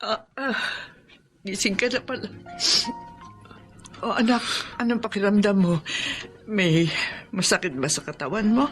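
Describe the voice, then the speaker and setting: A woman's voice: medium pitch, a little slow, pauses often, soft background music, lightly acted. An actress with a Filipino accent playing a protective, tearful, pleading mother in a radio drama. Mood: worried, tender. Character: anguished, protective Filipina mother of sick sons. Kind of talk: Tagalog radio drama acting.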